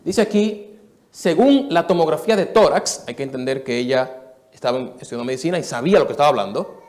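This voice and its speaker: A man in his thirties from the Dominican Republic, preaching a sermon testimony to a live church audience in an earnest storytelling way, moving between soft and emphatic. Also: fairly high pitch, expressive, a little fast.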